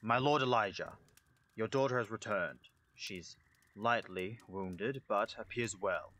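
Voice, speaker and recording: A man's voice: on the low side, a little slow, sharp tone. A man playing a curt, dutiful castle guard in an audio drama, giving formal, clipped, commanding speech. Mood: stern, dutiful.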